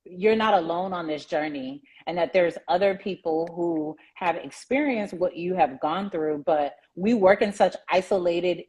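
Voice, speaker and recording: Woman host, low-pitched and husky, encouraging interviewer. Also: a little slow, few pauses, darkish tone. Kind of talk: casual interview.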